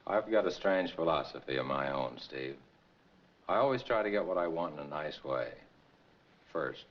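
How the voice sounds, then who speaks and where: An actor playing a rival trucking operator, falsely friendly and pushy with veiled menace, in 1940s film noir style. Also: male voice, very deep voice, lively intonation, normal speed. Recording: muffled, noisy 1940s film soundtrack.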